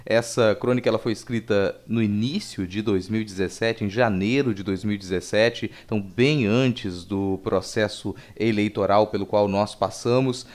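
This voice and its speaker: A man's voice: lowish voice, moderate pace, hardly any pauses, darkish tone. A man hosting a podcast, talking informally to listeners with playful asides. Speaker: podcast host. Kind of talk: casual podcast sign-off.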